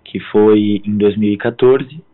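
Hoarse man on a tinny phone line. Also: lowish voice, steady tone, takes it slowly. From southern Brazil. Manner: hesitant, filler-heavy, polite. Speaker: guest musician. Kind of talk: phone interview.

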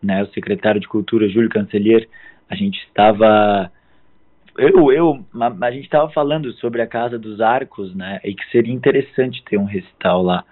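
A hoarse man in his thirties from southern Brazil, a guest musician speaking politely and hesitantly in a phone interview. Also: on the low side, normal speed. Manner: hesitant, filler-heavy, polite. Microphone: phone line. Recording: tinny phone line.

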